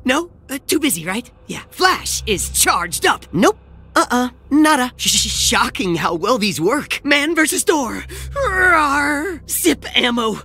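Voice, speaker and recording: A man's voice: very high-pitched, very animated, takes it slowly, pushed and bright, slightly rough, faint music behind, heavily acted. A male actor voicing a nerdy, nervous young survivor in a video game, with a stammering, self-deprecating, rambling delivery. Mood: eager, jittery. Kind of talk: video game character voice lines.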